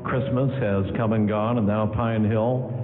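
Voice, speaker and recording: A man's voice: lowish voice, steady tone, unhurried, slight background noise. A man announcing in a scripted 1940s radio style, reading an ad and narration from a large hall stage. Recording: echoey hall, tinny narrow radio broadcast.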